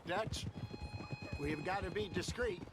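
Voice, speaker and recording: An actor playing a wry, cautious aging outlaw con man in a game cutscene. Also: sits high. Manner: measured, cautious. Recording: loud outdoor noise, horses.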